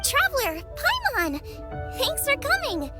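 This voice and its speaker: A woman's voice: very high-pitched, wildly swooping pitch, measured pace, heavily acted. A woman playing a perky, earnest and friendly young girl chef in a video game, with music behind. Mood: cheerful, consoling. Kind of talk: video game character acting.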